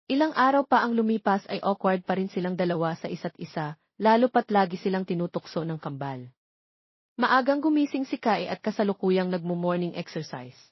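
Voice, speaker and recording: A woman's voice: mid-range voice, slightly rough, no echo, dull audio. A Filipino woman narrating a scripted romance story.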